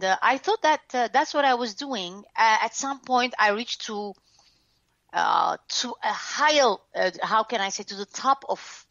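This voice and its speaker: Lebanese woman guest, reflective and frank, gravelly edge, dry dull sound. Casual interview storytelling. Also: on the high side, a bit bright, dry recording. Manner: frank, reflective, lots of fillers and restarts. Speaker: guest, author and former TV host.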